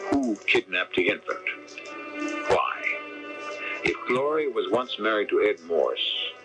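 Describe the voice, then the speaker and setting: A man hosting and narrating a radio mystery in a portentous, rhetorical, dramatic scripted style. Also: mid-range voice. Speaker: mystery series host and narrator. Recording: dull 1979 AM radio recording. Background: music.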